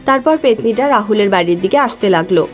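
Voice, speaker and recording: A woman's voice: mid-range voice, expressive, a little fast, soft background music. A woman reading scripted story narration as the narrator.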